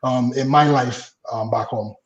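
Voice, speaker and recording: A man in his late thirties from the Virgin Islands, a guest telling stories about his baseball days in a relaxed way, laughing easily and trailing off with fillers. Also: mid-range voice, a little slow, roomy sound, lo-fi sound. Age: late 30s. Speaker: guest, former baseball pitcher. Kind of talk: casual interview storytelling.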